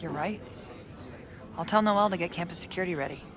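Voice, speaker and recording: A woman's voice: on the low side, quick pace, fairly bright tone, slight background noise, close to an everyday voice. A woman playing a blunt, teasing young venue worker in a video game, with casual, slangy, naturalistic delivery. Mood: exasperated. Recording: music under the voice.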